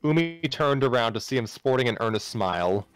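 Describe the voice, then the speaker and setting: A man's voice: mid-range voice, lively intonation, fairly quick. A man narrating a story aloud live, sometimes stumbling and rereading phrases. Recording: music playing behind the voice.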